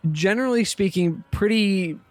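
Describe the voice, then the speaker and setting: A man's voice: high voice, normal speed, no echo, soft background music. Casual male podcaster, close dry sound. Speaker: podcast co-host. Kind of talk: casual gaming chat.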